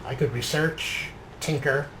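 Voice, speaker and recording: A man's voice: on the high side, fairly even intonation, unhurried, noisy background. A man narrating a video game series as its host, partly reading in character. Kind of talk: scripted in-character journal narration and show commentary.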